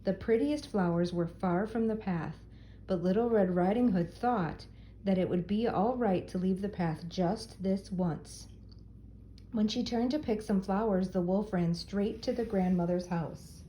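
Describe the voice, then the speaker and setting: An older woman reads a children's story aloud. Her tone is very mellow and dark, at a middling pitch, in the role of storyteller. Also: unhurried, a little hiss or hum, rough recording. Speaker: storyteller grandmother reading to children.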